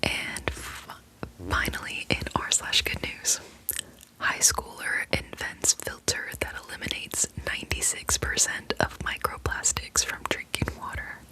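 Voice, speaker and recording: A young woman hosting an ASMR channel, whispering softly as she reads stories, easily moved to tears. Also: a little slow, poor audio.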